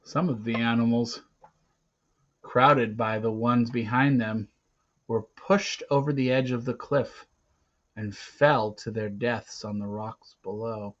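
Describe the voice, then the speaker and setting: A man narrating a storybook aloud theatrically, with dramatic pauses and swings between hushed and loud delivery. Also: medium pitch, steady tone, dull audio.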